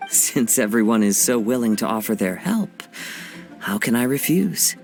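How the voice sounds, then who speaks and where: A man voicing a calm, humble young samurai poet who speaks softly and politely, in a video game cutscene. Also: medium pitch, normal speed, creaky. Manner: soft-spoken, polite, humble. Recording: game audio with music behind.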